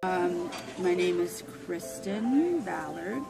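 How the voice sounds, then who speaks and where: A woman's voice: fairly low pitch, expressive, slow, gravelly. A woman from Texas, candid and a bit sheepish about her obsession. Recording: music playing behind.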